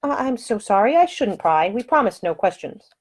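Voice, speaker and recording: A woman's voice: mid-range voice, big pitch swings, normal speed, voice pushed up. A woman voicing a brave, proper young lady in an audiobook story, with formal, articulate speech. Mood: soft, sleepy.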